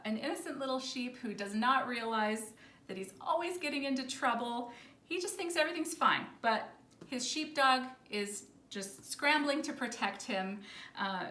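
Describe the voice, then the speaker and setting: A woman's voice: fairly high pitch, bright, forceful tone. Woman presenting in an echoey room. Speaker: librarian presenting children's books. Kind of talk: casual presentation to camera. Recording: roomy echo with faint background noise.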